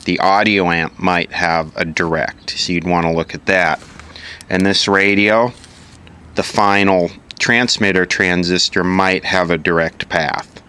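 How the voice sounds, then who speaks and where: A man's voice: low voice, fairly even intonation, measured pace, bright, forceful tone, clean tone. A man giving an informal hands-on repair tutorial, matter-of-fact and thinking aloud piece by piece as an instructor.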